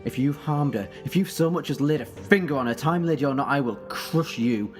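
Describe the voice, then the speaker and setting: A man's voice: on the high side, lively intonation, fairly quick, close to an everyday voice. A man acting in an audio drama as an intense, protective, heroic time-travelling alien. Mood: angry, threatening. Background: music.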